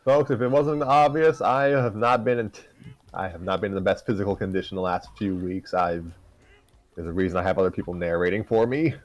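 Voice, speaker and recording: A man's voice: deep voice, steady tone, a little fast. A man chatting casually as co-host of a livestreamed story-reading show, with a dry, joking manner. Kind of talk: casual group chat on a livestream.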